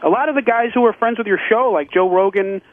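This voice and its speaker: Man, radio caller, high voice, rapid, insistent, stammering starts, narrow muffled phone sound. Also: no echo. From United States, Connecticut. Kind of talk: earnest, casual political argument. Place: on a phone call to a radio show. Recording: phone line into radio studio.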